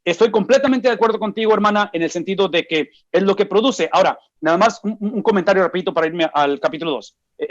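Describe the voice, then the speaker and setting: A Mexican man in his forties leads a Bible study. He speaks in a slightly raised register and presses each point home by asking himself questions, then answering them. The recording sounds dull and lo-fi. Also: sits high. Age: 40s. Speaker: Bible teacher. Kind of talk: Bible study teaching to a group.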